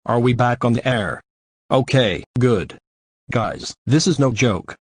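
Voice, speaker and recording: A man's voice: medium pitch, animated, slow, slightly rough, no echo. A man playing a local TV news anchor giving an urgent, direct warning to viewers in a scripted emergency bulletin. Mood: grave, urgent.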